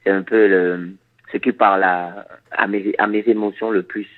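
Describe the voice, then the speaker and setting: Low-voiced man, tinny narrow sound. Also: level tone. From Suriname. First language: Dutch. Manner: halting, repeats words, thoughtful. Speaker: reggae musician. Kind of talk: interview answers.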